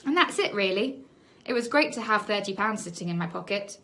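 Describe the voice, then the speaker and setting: Expressive woman reading a children's story. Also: mid-range voice, fairly quick. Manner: expressive, dramatic emphasis on key words. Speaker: teacher reading a children's book aloud. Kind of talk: animated storybook narration.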